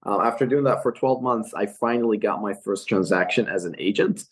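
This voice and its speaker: A man's voice: on the low side, a little fast, poor audio. A young man from Iraq, a guest on a podcast interview, telling his story earnestly with frequent fillers and restarts. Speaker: guest, real estate investor. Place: California.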